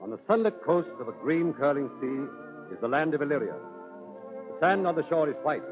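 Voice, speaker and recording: A man's voice: a little high, expressive, a little fast. A man narrating in theatrical, declamatory 1930s radio storytelling style. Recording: tinny 1930s radio, music behind.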